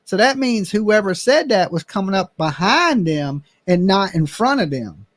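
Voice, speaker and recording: A man's voice: high voice, sing-song, measured pace, fairly bright tone, dry recording. A man hosting a casual chat, blunt and opinionated, swearing now and then.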